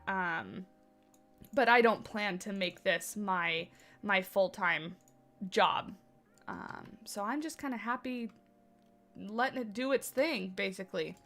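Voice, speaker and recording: A woman's voice: medium pitch, a bit bright. A woman livestreaming a game from her home office, chatting casually and rambling a little, slightly stuffed up from a cold. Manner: chatty, rambling, self-deprecating. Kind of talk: casual chat with live chat.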